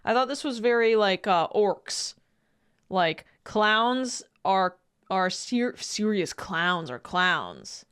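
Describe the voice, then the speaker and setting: A woman streamer reacting to a video in casual, joking commentary, excitable and swearing freely. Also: medium pitch, slow, clear, resonant tone.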